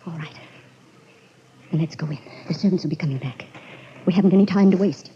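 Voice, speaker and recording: An actress playing a ruthless, scheming, domineering wife in a melodramatic old-time radio horror play. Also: female voice, lowish voice, fairly quick, close to an everyday voice. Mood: urgent, commanding. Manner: melodramatic, commanding. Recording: muffled, noisy old radio recording.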